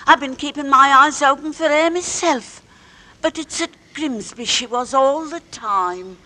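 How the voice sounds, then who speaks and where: A woman's voice: sits high, a little slow, pushed and bright. A woman playing a chatty, deferential housemaid in a 1940s radio drama. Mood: excited gossip. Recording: vintage 1940s radio recording.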